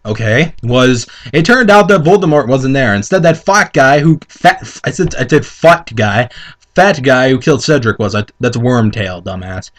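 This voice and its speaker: A man's voice: medium pitch, animated, moderate pace, a bit bright. A man co-hosting a comedic dramatic reading, reading aloud theatrically and breaking off into incredulous, sweary commentary. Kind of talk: mocking read-aloud with casual commentary.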